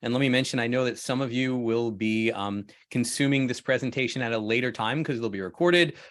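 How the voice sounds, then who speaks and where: A man with a medium-pitched voice acts as host of an online talk. He speaks informally and in a welcoming way, as a co-presenter introducing the session. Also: fairly quick, fairly bright tone. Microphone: video call.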